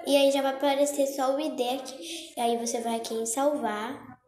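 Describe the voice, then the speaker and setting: A woman's voice: high-pitched, fairly even intonation, normal speed, darkish tone. A young woman with a Brazilian Portuguese accent, chatty and a little congested from a cold, walking viewers through a phone game tutorial. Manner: chatty, keeps saying "gente" and "tá?". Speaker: Roblox tutorial youtuber. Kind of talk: casual screen-recorded tutorial. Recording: echoey room with music behind.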